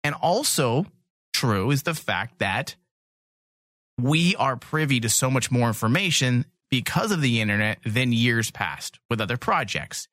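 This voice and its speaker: A man hosts an opinionated podcast in a medium-pitched voice that moves around a lot. He is blunt and emphatic, casual in his wording, and recorded very dry. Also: fairly bright tone, no room sound. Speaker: podcast host.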